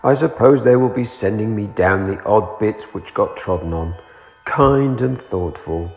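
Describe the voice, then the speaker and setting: A man voicing a gloomy, self-pitying storybook donkey who talks in a slow, resigned manner. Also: lowish voice, gravelly, a little mellow, soft background music. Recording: roomy echo, long reverb.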